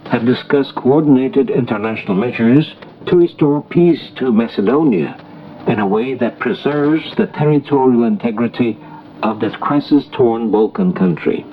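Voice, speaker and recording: A man's voice: medium pitch, normal speed. Male newsreader on noisy shortwave radio. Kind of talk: formal scripted international news bulletin. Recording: noisy, muffled shortwave radio broadcast recording.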